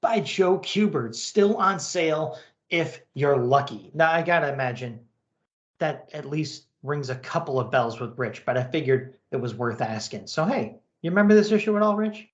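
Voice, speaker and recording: A man's voice: on the high side, animated, moderate pace, muffled recording. An American man hosting a podcast in a casual, chatty style. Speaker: podcast host. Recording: echoey, roomy sound.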